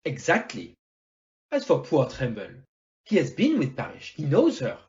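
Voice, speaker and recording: Theatrical, animated detective, played by an amateur actor. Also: mid-range voice, unhurried, lo-fi sound. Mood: assured, didactic. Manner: theatrical, didactic, rhetorical "eh?" tags, French phrases. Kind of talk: amateur audio play detective acting.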